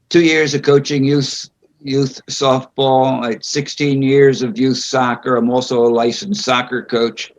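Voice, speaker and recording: An older man answering interview questions at a public meeting over a video call, speaking slowly and haltingly with frequent pauses. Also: mid-range voice, slight background noise. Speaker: board candidate being interviewed. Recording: dull lo-fi video call audio.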